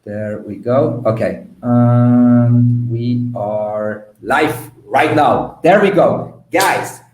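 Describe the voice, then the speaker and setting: Casting: male live-show host, mid-pitched, effusive and informal, repeats words for emphasis, roomy echoing sound. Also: extremely slow, a little mellow, faint music behind. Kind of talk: enthusiastic, informal live interview hosting.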